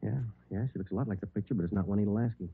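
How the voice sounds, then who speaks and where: An actor as a low-voiced, fast-talking deadpan police detective. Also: male voice, slight background noise, natural voice. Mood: firm, dry. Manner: deadpan, clipped. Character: terse, matter-of-fact male police detective narrating his case. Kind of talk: 1950s radio crime drama acting and narration. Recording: muffled old radio recording, music behind.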